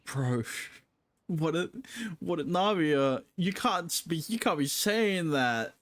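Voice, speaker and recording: A man's voice: a little high, animated, slow, no room sound. A man casually commenting on a game as he streams, sometimes reading dialogue aloud.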